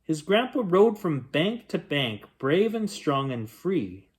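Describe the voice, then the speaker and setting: A man reading a rhyming picture book aloud as a bedtime story, in a gentle, expressive storytelling manner. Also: a little high, slow pace. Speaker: children's bedtime story reader.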